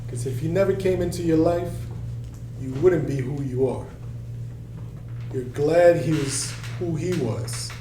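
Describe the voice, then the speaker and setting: Echoey, mellow-voiced stern father, played by a man. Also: a little high, unhurried, lightly acted. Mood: gentle, reassuring. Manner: scolding, then fatherly and reassuring. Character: stern but caring middle-aged father, restaurant boss. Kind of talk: screenplay table read. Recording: echoey room, distant mic, faint hum.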